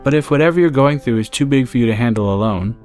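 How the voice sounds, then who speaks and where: An earnest teenage schoolboy in an audiobook drama, acted by a man. His voice moves expressively up and down as he pleads, soft and sincere, with a stubborn streak under the gentleness. Music plays behind him. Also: medium pitch, lively intonation, fast talker, close to an everyday voice. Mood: earnest, pleading. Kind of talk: audiobook character acting.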